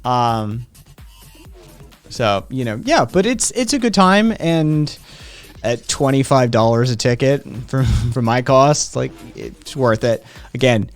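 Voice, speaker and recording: A man's voice: medium pitch, expressive, takes it slowly, faint music behind. A man hosting a live-streamed talk show, speaking casually with many hesitations and answering viewers' comments. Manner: hesitant, many "um"s, reads chat aloud. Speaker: podcast host. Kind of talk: casual chat.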